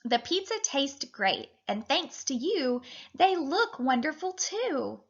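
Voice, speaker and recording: A woman's voice: sits high, very animated, slow pace, dull audio, exaggerated voice. A woman voicing a firm but forgiving mother in a children's storybook. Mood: relenting.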